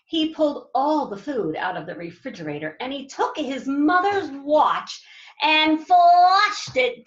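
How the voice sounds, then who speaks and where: A woman reading a children's storybook aloud like a teacher, with drawn-out, dramatic emphasis and asides to her young listeners. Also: high-pitched, echoey room, highs cut off. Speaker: elementary school teacher reading aloud to pupils.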